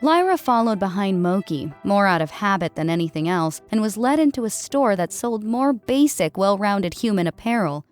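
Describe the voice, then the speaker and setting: A woman's voice: medium pitch, lively intonation, normal speed, few pauses. Woman narrating a story over faint music. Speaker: audiobook narrator. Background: background music.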